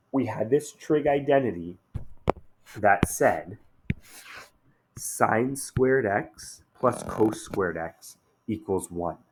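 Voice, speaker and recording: A man teaching a math lesson in a relaxed, conversational way, slightly hoarse after a day of shouting, often checking in with "okay?". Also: mid-range voice, slight background noise, poor audio.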